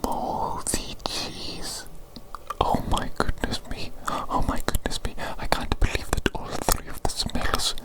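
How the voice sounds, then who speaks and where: A woman whispering an ASMR medical roleplay as a fussy doctor, softly repeating her phrases in a breathy, unvoiced voice. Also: slow pace. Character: fussy evil doctor examining a creature. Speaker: ASMR performer playing a doctor.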